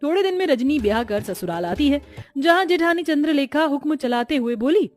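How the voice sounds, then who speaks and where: A woman's voice: high-pitched, lively intonation, fairly quick, a little mellow, soft background music. A woman storyteller reading scripted narration for a cartoon tale.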